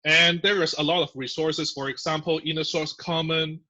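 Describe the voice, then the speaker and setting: Male guest, bright and forceful, chatty with fillers; dull lo-fi sound. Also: fairly high pitch, fairly even intonation, normal speed. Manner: chatty, filler-heavy explaining with laughs. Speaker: podcast guest, software engineer. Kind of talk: casual interview. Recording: muffled lo-fi audio.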